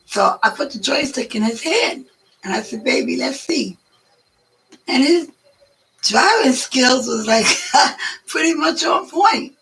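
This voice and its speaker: A hoarse woman chats as a guest, rambling and drawing out her stories. Her voice is gravelly, with a sharp, bright edge on top. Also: high voice, lively intonation, rough recording. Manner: chatty, rambling, drawn-out. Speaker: guest, host's mother. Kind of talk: casual storytelling chat.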